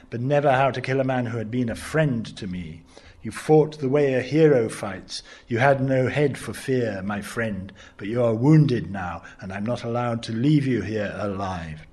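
Husky man reciting poetry. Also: mid-range voice. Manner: measured, rhythmic, swelling to loud declaiming. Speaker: poet reading his work.

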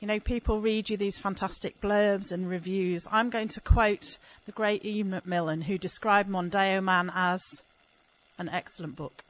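Woman festival host, mid pitch, bright and eager stage intro. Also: steady tone, unhurried, poor audio. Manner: enthusiastic, upbeat.

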